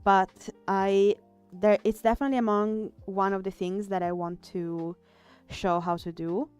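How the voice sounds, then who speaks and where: Woman, Italian accent, streamer chatting while drawing, smooth clear voice, faint music behind. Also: medium pitch, flat delivery, unhurried, darkish tone. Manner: chatty, reads chat aloud, trails off with fillers. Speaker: artist streamer. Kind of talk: casual live-stream chat while drawing.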